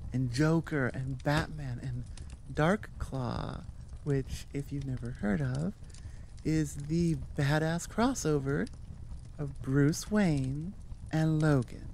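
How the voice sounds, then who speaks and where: Slow-talking, gravelly low-voiced woman. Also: dark tone, slight background noise. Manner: halting, thinking aloud, self-aware asides. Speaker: audio roleplay creator and host. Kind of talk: casual rambling outro chat to listeners.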